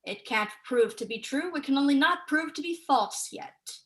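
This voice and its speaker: A young woman student actor playing a sharp, unsentimental, sceptical scholar in a staged play reading. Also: sits high, animated, moderate pace. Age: young adult.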